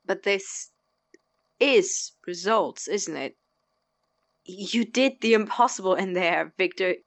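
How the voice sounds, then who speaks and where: A woman's voice: medium pitch, lively intonation, slow pace, no room sound. A woman playing a sarcastic, exasperated young male pre-med student in an audio drama, with a biting, incredulous, dramatic delivery. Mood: awed, intense.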